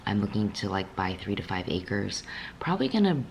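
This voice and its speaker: A young woman with a raspy voice, just woken up, talking candidly in a casual vlog from her apartment, with many fillers. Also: very deep voice, very animated, fairly quick, a little hiss or hum, poor audio. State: just woke up. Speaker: fitness vlogger.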